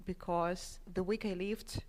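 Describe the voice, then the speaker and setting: A woman listener leaving a heartfelt, earnest and halting personal voice message. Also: on the low side, fairly even intonation, a little mellow. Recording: faint noise and clicks.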